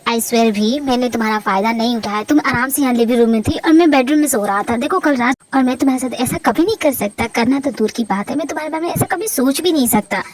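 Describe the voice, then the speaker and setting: A young male doctor, defensive and soothing, begging to be believed in a storybook reading put on by a woman. The voice sits lower than the narrator's own, with a mid-high pitch for a man. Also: fast, hardly any pauses, close to an everyday voice. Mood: placating.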